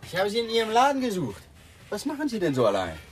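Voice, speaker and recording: A man's voice: high voice, huge pitch swings, fast talker, noisy background. An actor playing a sardonic, menacing police lieutenant in a radio drama, taunting his suspect with probing questions.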